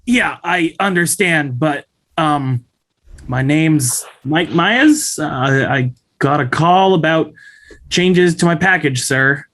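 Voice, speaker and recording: An anxious young student acted by a man at a table read, his voice on the high side. He is polite and pleading, with a slow, halting delivery. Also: lively intonation, a bit bright. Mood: nervous, hesitant. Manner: hesitant, halting. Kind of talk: script table read acting.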